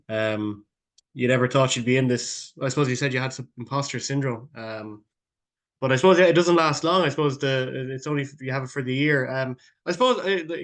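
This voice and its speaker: Man hosting a rambling interview. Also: medium pitch. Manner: rambling, many fillers and restarts. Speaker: podcast host.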